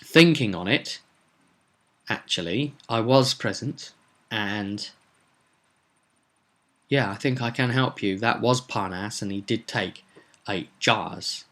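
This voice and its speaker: A helpful shopkeeper played by a man from a tabletop roleplay tale. He has a fairly low voice and speaks hesitantly, as if thinking back carefully. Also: slow, long pauses, fairly bright tone, full character voice. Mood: thoughtful, helpful. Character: helpful, hesitant male shopkeeper recalling a sale.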